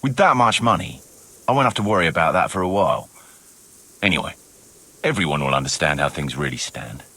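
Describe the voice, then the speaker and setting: Forceful, rough-voiced cynical mercenary captain. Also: male voice, sits low, lively intonation, brisk, no room sound, exaggerated voice. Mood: sardonic. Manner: sardonic, swaggering. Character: cynical, roguish mercenary captain, worldly and wryly shrewd. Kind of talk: dramatic video game dialogue.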